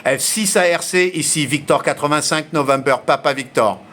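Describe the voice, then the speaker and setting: A man's voice: a little high, moderate pace, pushed and bright, slight background noise. A man chatting casually as an amateur radio operator, speaking informally and hesitantly. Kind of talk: casual radio contact chat. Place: home radio shack in Asia. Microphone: radio station microphone.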